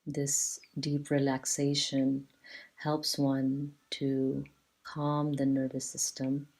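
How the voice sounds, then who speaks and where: A woman teaching a guided yoga relaxation in a soothing, measured way, leaving long pauses between short phrases. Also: rumbling low, completely flat delivery, a little mellow, poor audio.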